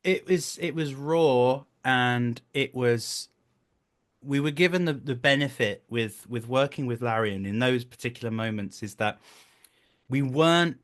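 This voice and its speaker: A man's voice: medium pitch, measured pace. A man in his thirties with a mid-range voice talks as a guest in a casual interview. He tells anecdotes as a director in a joking, self-deprecating way. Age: 30s. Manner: animated storytelling, self-deprecating, jokey.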